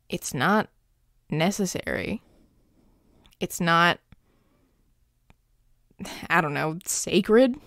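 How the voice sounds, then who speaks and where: Woman as gravelly, slow-talking werewolf boyfriend. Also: lowish voice, no room sound, clean studio sound, full character voice. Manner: gruff but tender, reassuring, halting. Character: grumpy, devoted young male werewolf boyfriend. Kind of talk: intimate audio roleplay, spoken to the listener.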